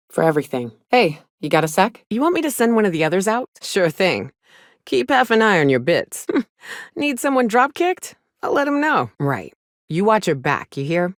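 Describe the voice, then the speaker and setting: A gruff, guarded monster hunter in her thirties for a video game. Her voice sits low for a woman, and she talks in a blunt, folksy drawl full of rough slang. The recording is very dry, like a studio booth. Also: no echo. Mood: easygoing, then brisk, teasing. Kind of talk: video game character dialogue.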